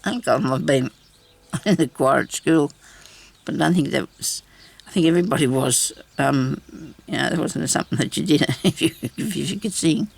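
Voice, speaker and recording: Deep raspy woman, gravelly. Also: animated, moderate pace. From Australia. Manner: chatty, self-deprecating, laughs often. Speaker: craft vlogger. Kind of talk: rambling casual chat while crafting.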